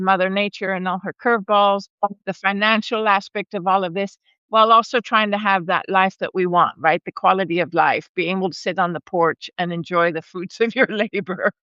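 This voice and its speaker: Woman, 40s, from Argentina and Brazil, chatty storyteller, bright clear voice, dry slightly dull call audio. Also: medium pitch, dry recording. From born in Argentina, raised in Brazil. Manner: warm, chatty, laughs often, frequent restarts. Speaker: regenerative rancher and holistic management educator. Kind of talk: casual conversational storytelling. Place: home, live video call. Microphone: video call microphone.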